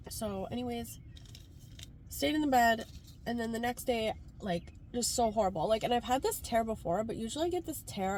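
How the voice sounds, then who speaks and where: A woman's voice: on the high side, darkish tone. Female vlogger from Canada, congested, chatty and informal, recorded in a car with light ambient noise. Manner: informal, rambling, frequent "like". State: congested from a cold. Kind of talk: casual chatty car vlog. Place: sitting inside a car.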